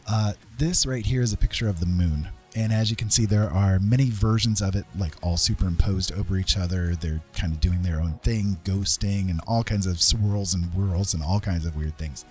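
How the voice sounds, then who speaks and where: A man chatting casually as he hosts an art livestream, rambling along in a self-deprecating, folksy way with a husky voice. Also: low voice, normal speed, hardly any pauses, darkish tone, soft background music. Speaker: livestream host and digital artist. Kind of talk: casual livestream chat while drawing.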